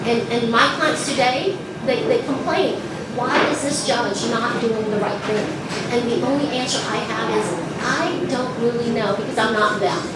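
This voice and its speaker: Woman speaking far off in an echoey, noisy room. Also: fairly high pitch. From Vietnam. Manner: earnest, repetitive, with many restarts and fillers. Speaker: judicial candidate. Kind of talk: campaign speech at a breakfast meeting.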